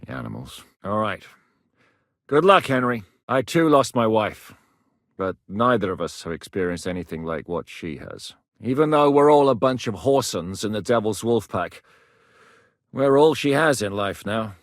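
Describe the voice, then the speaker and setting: A man voicing a blunt, steady mercenary captain in a video game, gruff and plain-spoken, recorded in a dry studio booth. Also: on the low side, moderate pace, no room sound. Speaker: mercenary leader.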